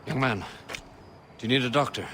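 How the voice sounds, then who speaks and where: An actor playing a resolute, weary colonial rebel leader in a video game cutscene. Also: male voice, mid-range voice, fairly quick, noisy background, close to an everyday voice. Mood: resolute, earnest.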